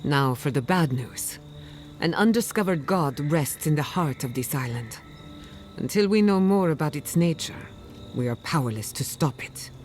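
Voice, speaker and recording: An actress playing a blunt, loyal, serious companion in a fantasy video game, speaking directly. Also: female voice, low-pitched, animated, normal speed, darkish tone, faint music behind. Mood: grave. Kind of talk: video game dramatic acting.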